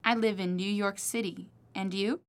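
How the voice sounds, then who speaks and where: A woman's voice: medium pitch, steady tone, moderate pace. A woman reading model dialogues and repeated words for a language course, articulating each one carefully. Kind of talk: scripted model dialogues and drills.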